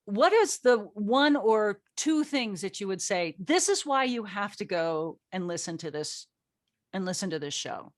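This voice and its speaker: A woman's voice: mid-range voice, very animated, measured pace, no echo, studio quality. A woman hosting a casual group interview as a show's writer, joking in a playful, self-deprecating way. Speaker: host and writer of an audio drama.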